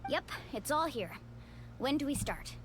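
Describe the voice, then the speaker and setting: A woman's voice: high-pitched, expressive, a little slow, a little hiss or hum. A woman voicing a spirited, perky young girl adventurer in a video game, eager, caring and emphatic. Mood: matter-of-fact. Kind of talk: scripted video game voice acting.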